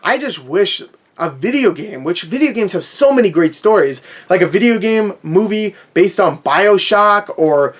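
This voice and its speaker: A man's voice: sits high, expressive, normal speed. A man giving a casual, opinionated rant in a sarcastic, sweary, conversational way. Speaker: movie review vlogger.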